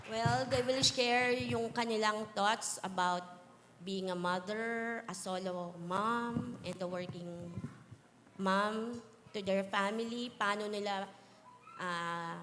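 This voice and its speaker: A woman's voice: medium pitch, slow, a bit bright. A woman hosting a church talk segment, mixing English and Filipino as she introduces guests. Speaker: segment host. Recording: long hall reverb, faint noise.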